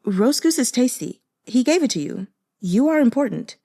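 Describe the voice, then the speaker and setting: Woman playing naive childlike man, storybook character, earnest, stubborn, blunt halting phrases, mellow timbre. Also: a little high, very animated, vocal fry, no room sound, studio quality, voice pushed up, heavily acted.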